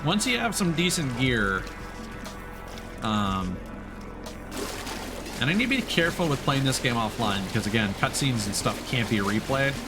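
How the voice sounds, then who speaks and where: A man's voice: mid-range voice, very animated, moderate pace, pauses often. A man giving casual let's play commentary as a streamer, rambling playfully and thinking aloud. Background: game music.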